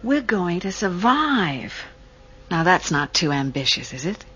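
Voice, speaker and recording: A woman's voice: on the low side, very animated, unhurried, fairly bright tone, husky, lo-fi sound, exaggerated voice. An actress playing a scathing, cool-headed, domineering female con artist in a radio drama. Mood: coolly determined. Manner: dry, domineering.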